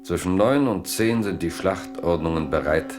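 A man with a deep voice narrates a radio drama from a script. His telling is deliberate and dramatic, with some music behind him.

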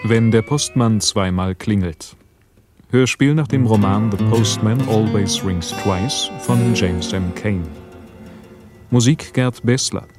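A man's voice: fairly low pitch, slow pace, music in the background. A man reading a scripted title announcement for a radio play.

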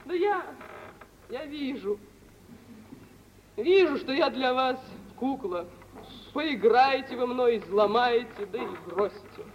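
An actress playing a proud, wounded young bride in despair, with drawn-out theatrical delivery in the manner of 1940s radio drama. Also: female voice, high voice, lively intonation. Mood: fearful, resigned. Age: young adult. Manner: drawn-out, emotional stage delivery. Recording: muffled, noisy old radio play recording.

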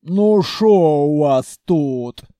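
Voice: lively intonation, extremely slow, warm tone, no echo. Чтец изображает грубую эльфийку с хриплым басом. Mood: surly, gruff. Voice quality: hoarse bass. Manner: grumbling, slangy, curt. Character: frail elf woman, gruff troll-raised chain smoker, rude, surly.

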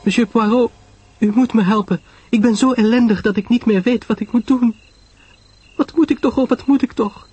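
A despairing little woman of a mystery story, acted by a male reader, pleads in a high, husky voice. She stammers and sounds breathless and tearful, with faint music behind her. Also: fairly even intonation, normal speed, a little mellow. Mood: frightened, desperate.

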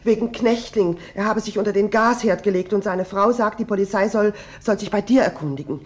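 An actress playing an anxious, dutiful bourgeois wife in a radio play, polite and hesitant. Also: female voice, mid-range voice, brisk, reverberant, natural voice. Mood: troubled. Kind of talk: German radio play acting.

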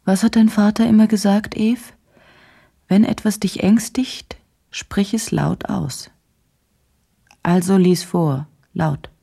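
Dark-voiced, low, creaky woman journalist. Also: fairly even intonation, slow, long pauses, exaggerated voice. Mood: self-urging. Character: probing female journalist, inward and unsettled, reading and narrating. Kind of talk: radio drama narration.

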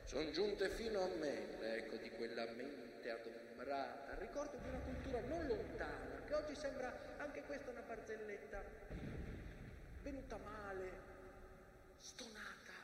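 A stage actor playing a proud, brooding mountain that remembers a war, declaiming emphatically with long dramatic pauses. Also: male voice, sits high, animated. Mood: reflective, bitter. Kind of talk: theatrical stage monologue in rhyming prose. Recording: echoey hall from far off, noisy.

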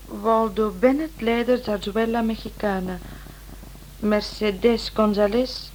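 Radio play: playful, quick-witted female sidekick, fairly high voice, light hiss from an old recording. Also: steady tone, a little mellow, poor audio. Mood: musing.

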